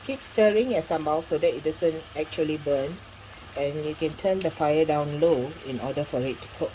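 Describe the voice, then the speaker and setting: Woman, middle-aged, deep low voice, chatty cooking demo, home kitchen, faint sizzling of a pan behind. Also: moderate pace, mellow, slight background noise, poor audio. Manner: chatty and instructive, with frequent asides. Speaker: cooking channel host.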